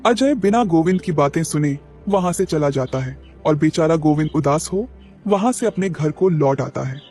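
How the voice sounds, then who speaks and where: Higher-voiced male storyteller. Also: normal speed. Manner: steady, explanatory. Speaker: narrator. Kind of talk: storytelling narration for a cartoon. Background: faint music.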